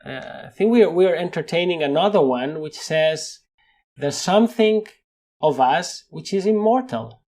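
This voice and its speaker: Spanish-accented male guest, slightly high pitch, lively emphatic speaker. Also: moderate pace. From Spain. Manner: animated, laughs often, repeats words when stressing a point. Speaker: guest scientist. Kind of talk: conversational interview.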